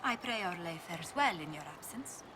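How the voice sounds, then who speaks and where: A woman's voice: on the low side, lively intonation, normal speed, lightly acted. An actress playing a polite, diplomatic ambassador in a fantasy video game, courteous and formal in her speech. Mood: deferential, reassuring. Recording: game audio over clear ambient noise.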